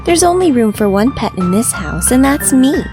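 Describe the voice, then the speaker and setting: A woman's voice: on the high side, sing-song, moderate pace. A woman voicing a haughty, territorial female cat with a cold, bossy manner in a visual novel. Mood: haughty threat. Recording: music behind the voice.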